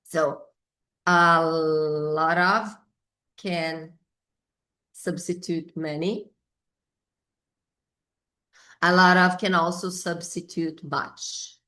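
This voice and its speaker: A middle-aged Brazilian woman, a native Portuguese speaker, teaches English grammar informally with a Brazilian accent, thinking aloud haltingly and repeating key words. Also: on the low side, steady tone, pushed and bright. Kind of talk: informal whiteboard grammar lesson.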